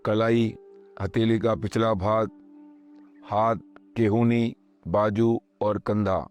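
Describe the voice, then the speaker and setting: Male meditation guide, lower register, very slow calm delivery in short spaced phrases, music underneath. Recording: voice over background music.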